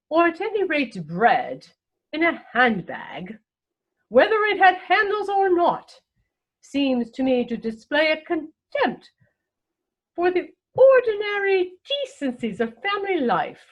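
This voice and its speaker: A woman playing a haughty, snobbish older aristocratic lady in a comic play reading, imperious and pompous, with deliberate enunciation. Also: sits high, full character voice, low-quality recording.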